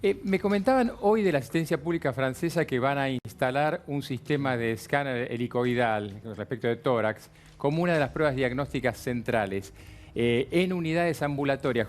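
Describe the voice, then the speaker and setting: Halting man asking questions. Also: mid-range voice. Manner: halting, restarts often. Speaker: TV panelist.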